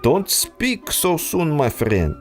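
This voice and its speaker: Brief: actor doing a smooth mastermind villain, unhurried, theatrical swoops in pitch, soft music under. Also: male voice, huge pitch swings, full character voice. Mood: silky menace. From Russia. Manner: smooth, silky, menacing, slow and deliberate. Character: suave treacherous male scientist villain, exiled aristocrat. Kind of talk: pulp adventure audio drama.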